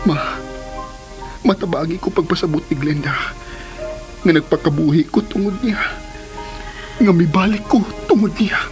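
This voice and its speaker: A man's voice: on the high side, normal speed, pauses often, music in the background, lightly acted. An actor playing an earnest, pleading son who confides in his mother in a radio drama. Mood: earnest, confiding.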